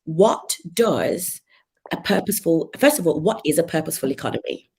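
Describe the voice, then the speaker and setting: A woman hosts a casual interview with a low, husky voice. She laughs often and searches aloud for words while asking questions. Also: lively intonation, normal speed, mellow. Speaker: host.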